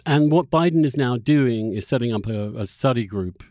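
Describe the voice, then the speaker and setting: A man with a mid-pitched voice hosts a conversational podcast interview. He probes and questions in halting phrases, repeating words as he works out the point. The recording is dry. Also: lively intonation, darkish tone, no room sound. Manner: probing, halting, repeats words while thinking.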